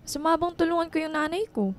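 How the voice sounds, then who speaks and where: A woman's voice: extremely high pitch, expressive, quick pace. A Filipino woman playing a dutiful young student and devoted daughter, earnest and polite but firm in a radio drama. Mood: earnest, troubled.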